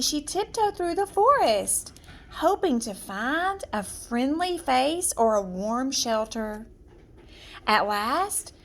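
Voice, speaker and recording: A woman narrates a children's fairy tale in a high voice that moves through lively swings of pitch. She takes her time, phrasing each part deliberately and with storybook drama. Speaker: storyteller reading aloud.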